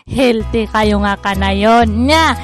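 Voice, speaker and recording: A woman's voice: fairly high pitch, slow, soft background music. A woman with an Ilocano Filipino accent, performing as host and player in a scripted radio drama. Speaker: drama channel host and narrator.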